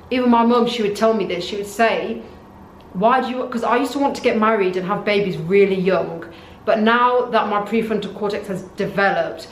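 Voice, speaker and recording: A young woman from North Africa sharing her opinions in a casual monologue, with a fairly even, monotone delivery and a voice she calls deep. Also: slight background noise. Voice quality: says she has a deep voice. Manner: says her voice and face are monotone. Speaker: vlogger. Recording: echoey room.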